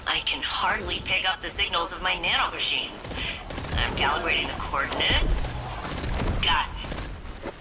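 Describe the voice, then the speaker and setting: Woman doing casual playful game techie, lowish voice, wry, loud music behind. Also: measured pace, long pauses, lightly acted. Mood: playful, focused. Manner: informal, wry. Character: casual, playful, wry female tech-minded field operative. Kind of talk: video game voice acting.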